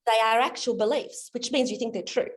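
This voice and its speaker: Woman, coach, slightly high voice, friendly and explanatory, video call mic. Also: a little fast. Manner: friendly, explanatory, talks the guest through his beliefs. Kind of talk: live coaching demonstration in an online session.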